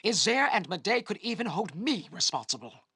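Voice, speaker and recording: A woman playing a haughty, threatening commander of a monster army in a fantasy video game, contemptuous and commanding, with a husky voice. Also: mid-range voice, very animated, normal speed, a bit bright, dry recording, studio quality, full character voice. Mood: angry, commanding.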